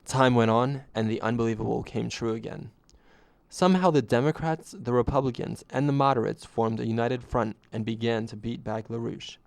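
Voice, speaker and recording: A man narrating a scripted first-person story in a deadpan way. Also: mid-range voice, moderate pace, creaky, no echo.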